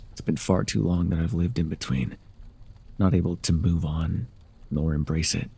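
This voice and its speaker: A man playing a forgotten, sorrowful former god in an ASMR audio roleplay, with a breathy, gravelly voice and a halting, brooding delivery. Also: low-pitched, darkish tone, heavily acted.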